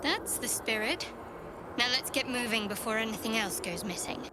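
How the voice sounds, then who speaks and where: A woman's voice: on the high side, moderate pace, natural voice. A woman playing a guarded, wry half-elf cleric in a video game, dry and teasing but composed. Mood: wry, encouraging. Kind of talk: video game character acting. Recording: loud background ambience noise.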